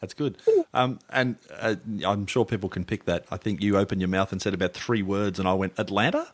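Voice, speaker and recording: A man's voice: lowish voice, a little fast. A man hosting a podcast interview in a loose, rambling way, full of fillers and restarts. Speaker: podcast host.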